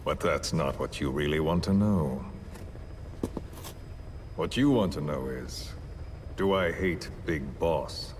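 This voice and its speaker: An actor playing a calm, coldly vengeful villain in a video game, delivering solemn, deliberate monologues. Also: male voice, a bass voice, noisy background, full character voice. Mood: measured resentment. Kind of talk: dramatic video game cutscene acting.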